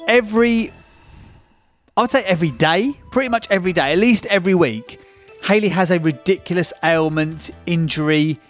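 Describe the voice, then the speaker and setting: A man co-hosting a podcast who teases his partner and swears casually. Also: a little high. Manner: teasing, sweary banter. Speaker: podcast co-host. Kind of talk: casual chat.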